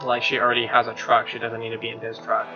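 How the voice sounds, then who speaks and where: A man plays through a visual novel, narrating in a mid-pitched voice and breaking into incredulous, sweary asides. The sound is slightly dull, with guitar music clearly behind him. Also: monotone, fast talker. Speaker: let's player reading a visual novel aloud. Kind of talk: story narration with casual sweary reactions.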